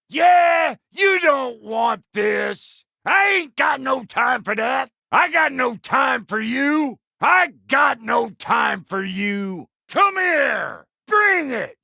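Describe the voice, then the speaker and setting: Gruff American dwarf blacksmith for a game: high-set, sharp, rough voice, foul-mouthed and cocky, folksy drawn-out delivery. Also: male voice, dry recording, clean studio sound, exaggerated voice. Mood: belligerent taunting. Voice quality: gravelly, rough. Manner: brash, folksy, profane. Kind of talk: video game character voice lines.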